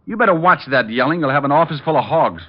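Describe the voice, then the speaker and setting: An actor playing a wisecracking, laid-back private detective in a 1940s radio drama, with dry, flippant delivery. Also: male voice, on the high side, animated, fast talker. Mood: dry, teasing. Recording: dull old-time radio recording.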